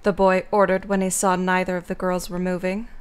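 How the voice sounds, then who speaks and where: A woman's voice: fairly low pitch, little pitch movement, a little fast, clean tone. A woman narrating a scripted story as the narrator of an audio drama.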